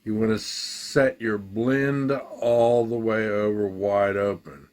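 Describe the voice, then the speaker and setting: A man giving a casual, halting gear demo, thinking aloud as a musician at work. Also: lowish voice, fairly even intonation, darkish tone. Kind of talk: casual product demo talk.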